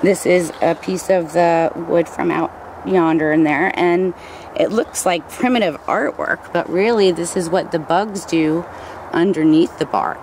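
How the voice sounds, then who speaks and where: A middle-aged woman talks casually and rambles a little, with lots of ums and likes, as she walks through a hut in the woods. She is recorded outdoors by someone holding the camera. A steady hiss of background noise stays under her voice the whole time. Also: lowish voice, measured pace. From New Jersey. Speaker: bushcraft builder giving a tour. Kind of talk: casual show-and-tell. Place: outdoors in woods, inside and beside a stick hut. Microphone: camera held by another person.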